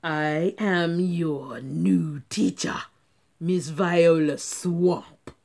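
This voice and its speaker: A woman voicing a stern, unpleasant substitute teacher in a storybook, hissing and snapping slow commands. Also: fairly low pitch, fairly even intonation, vocal fry, a little mellow, exaggerated voice.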